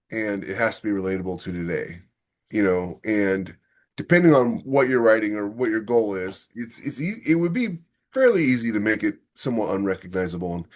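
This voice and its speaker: Low-voiced man chatting as podcast host. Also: darkish tone. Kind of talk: casual podcast discussion.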